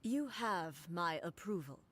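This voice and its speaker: A woman voicing the regal, stern storm goddess ruler from a game, in measured, formal, solemn speech. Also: fairly low pitch, lively intonation, a bit bright, a little hiss or hum. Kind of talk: game dialogue dub.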